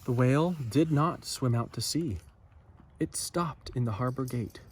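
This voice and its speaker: A man reading a children's story aloud expressively, with dramatic pauses and asides to listeners. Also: medium pitch, breathy edge. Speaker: storybook reader.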